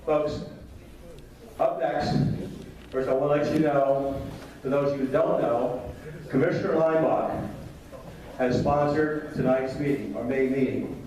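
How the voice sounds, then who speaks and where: A man's voice: medium pitch, steady tone, a little slow, long pauses, mellow. A man hosting a public meeting, introducing the next speaker casually with many fillers. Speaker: meeting host. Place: meeting hall. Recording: echoey hall from far off, noisy.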